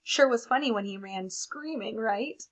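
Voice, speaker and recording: A woman's voice: fairly high pitch, normal speed, close to an everyday voice. A woman voicing a timid, terrified crayon with a nervous, hesitant storybook delivery. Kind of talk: expressive picture-book read-aloud.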